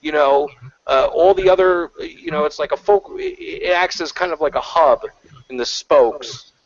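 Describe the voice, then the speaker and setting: A man's voice: on the high side, expressive, moderate pace, dry recording. Rambling man on a lo-fi call. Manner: rambling, heavy on fillers and restarts. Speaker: panel guest. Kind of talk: casual online panel chat. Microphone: video call. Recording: lo-fi online call audio.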